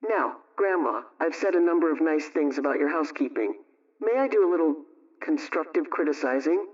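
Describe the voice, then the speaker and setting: 1920s radio homemaking adviser, brisk and friendly, reads out tips evenly, muffled old recording kinda far from the mic. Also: female voice, low voice, animated, darkish tone. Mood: instructive, friendly.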